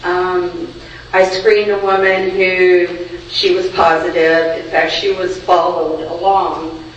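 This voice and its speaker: A clinic screener with a naturally loud voice tells a chatty story at a group meeting. The voice sits in the middle range and sounds far from the mic in a very echoey room. The sound is dull, with faint background noise. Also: medium pitch, flat delivery, slow.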